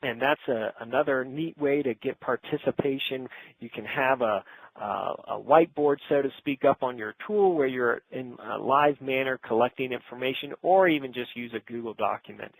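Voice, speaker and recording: A man's voice: mid-range voice, moderate pace, vocal fry, poor audio. A middle-aged man presenting a business webinar in an informal, conversational and instructive way, speaking directly to his audience.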